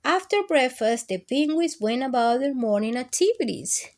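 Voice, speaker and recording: A woman's voice: sits high, animated, normal speed, darkish tone. A woman reading picture books aloud to young children, speaking expressively, drawing out words and addressing the little listeners directly. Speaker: children's storytime host and reader.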